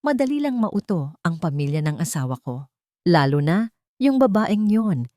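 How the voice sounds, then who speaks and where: A woman narrator plays a scheming male fortune hunter in an audiobook melodrama. The voice is close and dry, set fairly low for a woman, with a manipulative, self-serving edge. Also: big pitch swings, moderate pace, dark tone, no room sound. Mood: smug, scornful.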